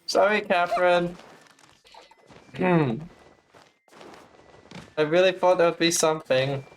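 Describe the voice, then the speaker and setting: A man's voice: high-pitched, slow pace, a little hiss or hum. A man giving casual gameplay commentary as a streamer, chatting back at the game's characters. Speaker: game streamer.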